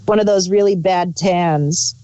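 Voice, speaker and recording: A woman's voice: fairly low pitch, a little slow, mellow, poor audio. A woman chatting casually as a review co-host, chipping in with brief, offhand remarks. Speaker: podcast co-host reviewing audio drama. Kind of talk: casual chat.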